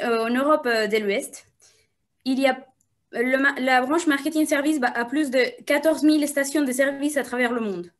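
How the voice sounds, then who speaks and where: Woman, interviewer, fairly high pitch, halting delivery, reading a biography aloud. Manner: halting, frequent hesitation fillers. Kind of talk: reading a guest's biography at a live event.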